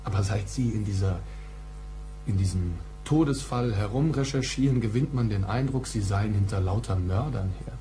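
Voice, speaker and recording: Actor as a reproachful male therapist, mellow voice. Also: on the low side, a little hiss or hum, lightly acted. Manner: measured, formal, reproving. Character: smooth, reproachful male psychotherapist guarding his patient. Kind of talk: radio play dialogue.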